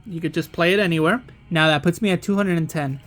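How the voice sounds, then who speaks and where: A man with a noticeably high-pitched voice hosts a casual walkthrough of a game. He explains each step plainly, pausing to check that it is clear. Music plays faintly behind him. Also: a bit bright. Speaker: host demonstrating a card game.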